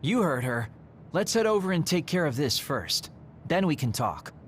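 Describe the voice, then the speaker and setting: A man's voice: fairly high pitch, sing-song, normal speed, a little hiss or hum. An actor playing a laid-back, encouraging young male squad mate in an anime video game. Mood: easygoing.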